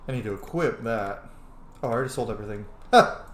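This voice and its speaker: A young man casually commenting on a game he plays, animated and swearing freely as he reacts. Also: medium pitch, fast. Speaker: roleplay game streamer. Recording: roomy room with faint noise.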